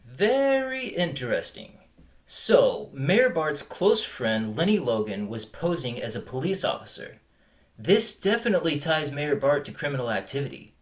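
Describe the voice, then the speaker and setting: A man acting a dry-witted partner in an amateur investigation, speaking in a measured way in an audio drama. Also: fairly high pitch, very animated. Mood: curious.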